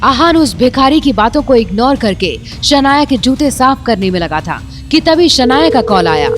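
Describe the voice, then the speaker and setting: A woman narrating a serialized audio drama with dramatic storytelling. Her voice sits on the high side, and faint music plays under the narration. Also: very animated, normal speed.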